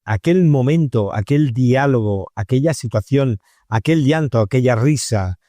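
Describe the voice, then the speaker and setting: Male interviewer, mid-pitched, conversational and discursive, very dry recording. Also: animated, a little slow, mellow, no room sound. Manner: discursive, frequently seeking agreement with tag questions.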